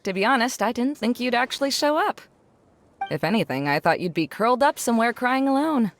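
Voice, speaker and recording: A woman voicing a poised, blunt scholar who speaks in a brisk, cool, businesslike manner, in a game cutscene. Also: a little high, sing-song, slight background noise.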